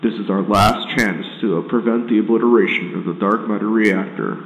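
Long echoes and faint noise surround a grave male commander voiced by an actor in a game. His voice is on the low side, and he gives solemn, authoritative emergency instructions. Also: moderate pace, dull audio, close to an everyday voice. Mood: grave, urgent. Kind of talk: scripted emergency announcement. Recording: echoing PA reverb, faint noise.

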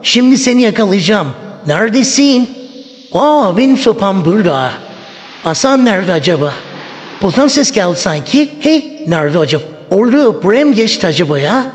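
A middle-aged woman playing a menacing old granny villain in a children's skit, with slow, taunting, repetitive threats. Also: medium pitch, very animated, a little mellow, lightly acted. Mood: vengeful, hunting. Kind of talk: improvised children's family skit. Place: indoors in a home hallway and rooms. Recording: echoey hallway, music behind.

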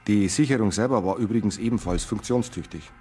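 Husky Bavarian forensic technician. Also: male voice, fairly low pitch, brisk, a little mellow. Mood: matter-of-fact. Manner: matter-of-fact, technical. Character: male forensic technician, precise, matter-of-fact. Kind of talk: naturalistic radio crime drama acting.

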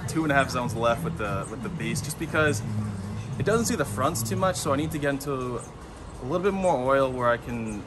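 A man's voice: medium pitch, expressive, normal speed, over loud music. A young man in his twenties, a bowling channel host, talking loosely and with enthusiasm to camera in a big indoor bowling center. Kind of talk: casual gear talk to camera.

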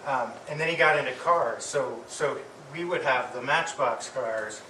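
A middle-aged man giving an affectionate eulogy to an audience, speaking haltingly with pauses and repeated words. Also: mid-range voice, pushed and bright. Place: medium-sized indoor hall with audience. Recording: distant mic, echoey room, clear background noise.

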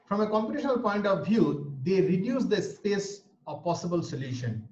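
Lively, high-pitched Indian English lecturer, a Bengali speaker, repeating each point over a muffled video call. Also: male voice, normal speed. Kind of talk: online lecture. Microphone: webcam or laptop mic on a video call. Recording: roomy, dull video-call audio.

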